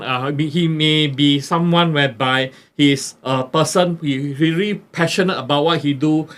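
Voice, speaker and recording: Halting man with a higher voice. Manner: halting, many fillers and restarts. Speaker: podcast host. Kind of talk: casual interview introduction.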